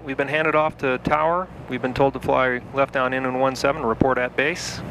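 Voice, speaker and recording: Gravelly utah guy chatting thru his sim flight, relaxed, calling out flaps and stuff, basement sim with a humming fan. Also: mid-range voice, noisy background. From Salt Lake City, Utah. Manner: easygoing, talks himself through cockpit checklists. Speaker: home flight-sim pilot. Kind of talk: casual running commentary while flying. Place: home-built cockpit simulator in a basement.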